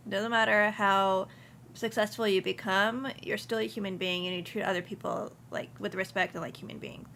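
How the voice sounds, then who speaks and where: Young woman with a gravelly, bright voice. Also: medium pitch, moderate pace, a little hiss or hum. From Houston, US. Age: young adult. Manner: relaxed, many ums and restarts. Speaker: insurance agency principal, guest. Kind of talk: casual interview conversation.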